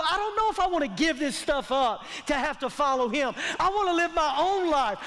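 A man's voice: extremely high pitch, fairly bright tone. A man, preaching a sermon as a pastor in an emphatic, colloquial, repetitive way that calls for response. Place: large church auditorium stage, indoors. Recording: long hall reverb from stage sound system.

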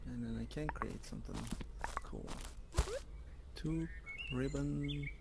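A man's voice: a little high, takes it slowly, creaky. A man from Turkey chatting casually in a virtual reality meeting through a headset microphone. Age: adult parent. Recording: noisy headset voice chat.

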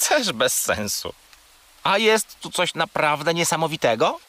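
A curious little furry boy creature speaks in a bright voice that leaps all over. He is naive, excitable and opinionated, in the manner of a children's radio play. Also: on the high side, unhurried, heavily acted. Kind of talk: children's audio drama acting.

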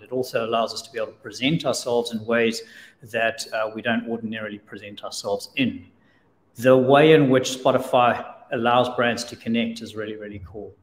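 Webinar host in an echoey room. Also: male voice, fairly low pitch. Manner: measured, repeats and rephrases himself. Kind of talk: introducing a guest speaker. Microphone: video call. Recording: roomy echo with long reverb.

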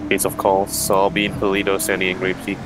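A man making procedural radio call-outs as a roleplay unit leader, steady and businesslike. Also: low-pitched, fast talker, music in the background.

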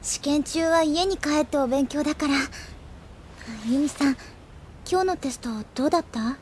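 A high, youthful voice from a woman acting as a cheerful schoolgirl who loves gossip. The delivery is that of an anime audio drama, and music plays beneath it. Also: moderate pace, darkish tone, slight background noise, natural voice. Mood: playful. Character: cheerful, gossipy, studious teenage schoolgirl friend.

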